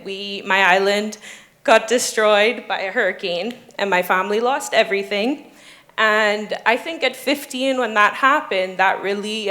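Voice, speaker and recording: An earnest young woman from the Bahamas talks through her ocean research on a panel, steady and explanatory. Her pitch sits in a middle range, and she stops often with a soft um. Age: 20s. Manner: earnest, explanatory, frequent ums. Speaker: doctoral student. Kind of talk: panel discussion.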